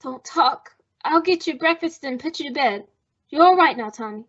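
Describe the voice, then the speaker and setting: A woman's voice: high voice, lively intonation, moderate pace, a bit bright. A woman playing an anxious, devoted young sister in an old-time radio melodrama, earnest and emotional in manner. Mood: urgent, protective. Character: anxious, devoted young woman protecting her fugitive brother. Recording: dull, muffled lo-fi sound.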